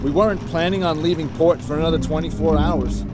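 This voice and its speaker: An actor playing a gruff, skeptical salvage boat captain in an audio drama, speaking in a blunt, argumentative, emphatic way. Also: male voice, fairly high pitch, steady tone, a little fast, close to an everyday voice. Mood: frustrated, insistent. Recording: dramatic music behind the voice.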